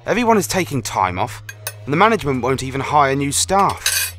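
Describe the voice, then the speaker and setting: A man's voice: mid-range voice, big pitch swings, fairly quick, fairly bright tone, faint music behind. A man acting an irritable, sickly young brother who grumbles and complains in a radio play. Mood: weary grumbling.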